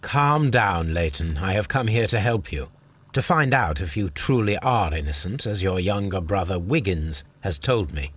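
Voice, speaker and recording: An actor playing a composed, courteous Victorian gentleman detective with an English accent, questioning politely in a video game. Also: deep voice, expressive, normal speed, slightly rough, full character voice. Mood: calm, authoritative. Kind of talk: scripted video game acting.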